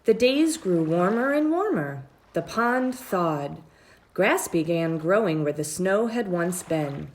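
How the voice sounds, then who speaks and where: A woman reads a children's story aloud in a measured, expressive storytelling style, drawing out her phrases. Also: lowish voice. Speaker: storybook reader.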